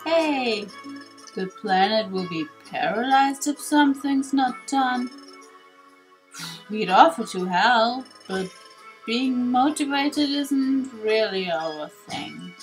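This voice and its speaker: Woman as a slow, unmotivated lazy creature. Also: a little high, expressive, poor audio. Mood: apathetic. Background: game music.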